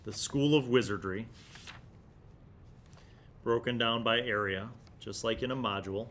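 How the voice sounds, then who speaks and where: A middle-aged man talks through a review in a casual, musing way. His voice sits at an average male pitch, and his phrasing stops and starts as he moves between commentary and reading aloud. He is recorded at a desk microphone with some low rumbling noise behind him. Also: a little slow, a bit bright. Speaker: host of a tabletop gaming review series. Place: small indoor studio room. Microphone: desk microphone in front of him.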